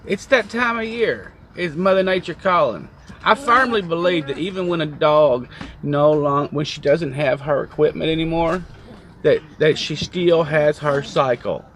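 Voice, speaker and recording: A man's voice: fairly high pitch, unhurried, fairly bright tone. A man chatting casually as a trucking vlogger, rambling and joking as he tells stories from a truck cab. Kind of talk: casual chat.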